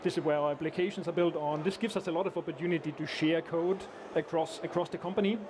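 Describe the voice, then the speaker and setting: A man's voice: on the high side, fairly even intonation, quick pace, noisy background. A man from Austria, a guest engineer chatting in an interview, restarting and hesitating often. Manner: hesitant, many restarts and fillers. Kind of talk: casual conversational interview. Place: conference event.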